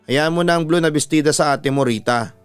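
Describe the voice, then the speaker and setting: A man's voice: on the high side, fairly quick, clean tone, dry recording, close to an everyday voice. Man reading as a nagging Filipino mother. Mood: instructing. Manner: nagging, bossy. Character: scolding, protective mother who favours her younger child. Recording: music behind the voice.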